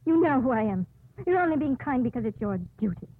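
A woman's voice: sits high, animated, fast, slightly rough, no echo. A woman playing a bitter, defiant young condemned prisoner in a 1950s radio drama. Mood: tense, curt. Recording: thin phone-like old radio broadcast.

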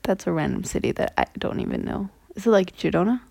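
A woman's voice: lowish voice, animated, fast talker, vocal fry, darkish tone, husky. A young woman hosting a casual podcast interview, chatty and teasing, often giggling. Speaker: podcast host. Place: indoors at a family home.

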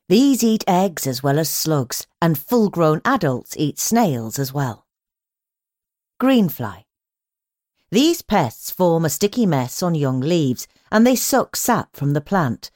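A woman reading magazine articles aloud as a scripted narration, in a measured, instructional way with clear phrasing. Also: lowish voice, a little mellow, dry recording, clean studio sound. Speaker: magazine audio edition narrator.

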